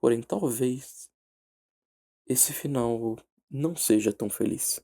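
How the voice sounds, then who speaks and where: A Brazilian man narrating a scripted horror analysis in a measured, dramatic way with deliberate pauses. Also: mid-range voice, vocal fry, mellow, slightly rough. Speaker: narrator and video essayist. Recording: background music under the voice. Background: music.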